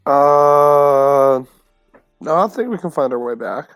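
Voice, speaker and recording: Slightly high-voiced casual male game player. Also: slow pace, clean tone, no room sound, soft background music.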